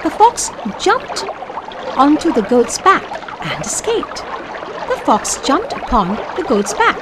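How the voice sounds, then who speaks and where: A woman narrating a children's moral story slowly in a singsong voice. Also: high-pitched, wildly swooping pitch, background noise. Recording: loud music behind.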